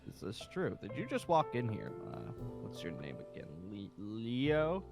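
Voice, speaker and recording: Exasperated sarcastic colonel, played by a man, game roleplay, swooping pitch, repetitive phrasing, music behind. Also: wildly swooping pitch, unhurried. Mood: weary, probing. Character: exasperated, sarcastic colonel running a zombie survivor safe haven. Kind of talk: improvised video game roleplay.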